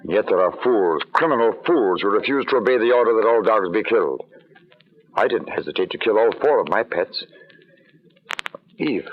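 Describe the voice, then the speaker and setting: An actor playing an ardent, brooding settler in an old radio drama, speaking in an earnest, formal, dramatic way. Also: male voice, lowish voice, animated, normal speed, pauses often. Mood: grave, urgent. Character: ardent, brooding male settler in a colonial outpost. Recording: muffled, noisy old radio recording.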